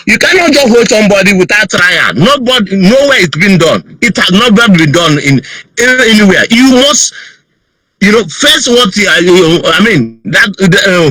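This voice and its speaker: A man from northern Nigeria with a Nigerian accent speaks in a high, bright voice. He talks haltingly, full of fillers and restarts. The sound is dry, with no room around it. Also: dry recording, low-quality recording. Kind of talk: heated call-in debate. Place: lives in Germany and Nigeria.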